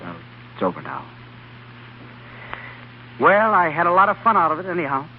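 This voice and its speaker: An actor playing an earnest, compassionate research doctor in an old-time radio drama. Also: male voice, a little high, wildly swooping pitch, normal speed. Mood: dejected. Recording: dull, hissy old radio broadcast.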